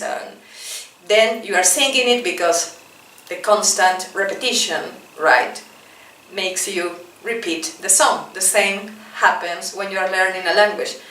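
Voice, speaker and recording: A woman's voice: mid-range voice, a bit bright. A woman teacher leading an English lesson, patiently drilling phrases and often checking in with "right?". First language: Spanish. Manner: slow, patient drilling with frequent "right?" tags. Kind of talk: classroom-style English lesson with repetition drills. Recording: roomy, distant from the mic.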